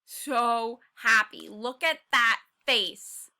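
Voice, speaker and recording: A woman reading a story aloud as a teacher, expressive and encouraging, pausing to put questions to the children. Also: fairly high pitch. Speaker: second grade teacher.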